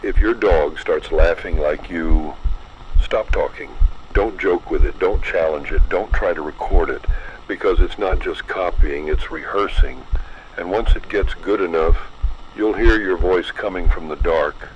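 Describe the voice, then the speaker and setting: A man narrating a scripted horror story in a low, measured, ominous way. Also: highs cut off, slight background noise.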